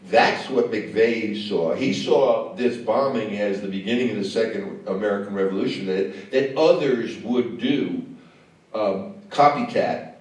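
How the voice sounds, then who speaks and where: A man, a film director, answering questions on stage after a screening, talking in a halting way with many fillers and restarts. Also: fairly low pitch, a little mellow. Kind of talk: on-stage conversation. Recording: echoey hall, mic far off.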